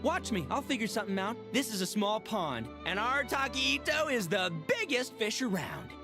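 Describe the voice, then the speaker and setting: Loud-mouthed goofy game brawler, young dude, swoops all over, theatrical, music behind. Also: male voice, high voice, big pitch swings, normal speed. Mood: defiant, upbeat. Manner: bombastic, slangy, theatrical. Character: brash, boastful, goofy young male brawler. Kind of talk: animated game voice acting.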